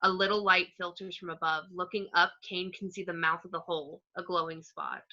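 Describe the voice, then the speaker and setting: A woman reading screenplay action descriptions as narration, in a plain, measured way. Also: lowish voice, fairly even intonation, bright, forceful tone. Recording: slightly dull home microphone.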